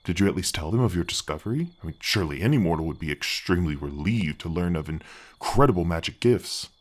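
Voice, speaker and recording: A big, helpful male gargoyle, acted by a man with a very deep voice, lowered by an effect. He talks softly and earnestly, as in a fantasy ASMR roleplay, with courteous, slightly hesitant phrasing that makes him a kindly, bashful guardian. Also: lively intonation, quick pace. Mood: concerned, sympathetic. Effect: deep-voice pitch effect. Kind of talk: ASMR fantasy roleplay, soft conversational acting.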